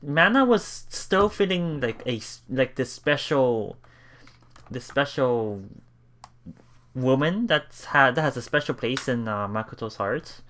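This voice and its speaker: Bright-voiced man with animated, swooping intonation. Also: medium pitch, takes it slowly. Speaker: let's play host. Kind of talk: reading visual novel text aloud with casual commentary. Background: game music.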